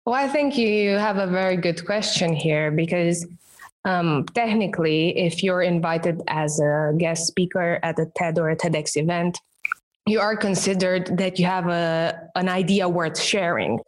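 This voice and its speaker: Romanian-born woman, measured interview guest. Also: fairly low pitch. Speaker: guest, professional coach and public speaker. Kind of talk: podcast interview answers.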